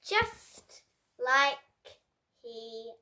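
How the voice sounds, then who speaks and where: A young girl reading a picture book aloud as host, with expressive, dramatic storybook phrasing. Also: high voice. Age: child. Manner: slow, deliberate, dramatic phrasing.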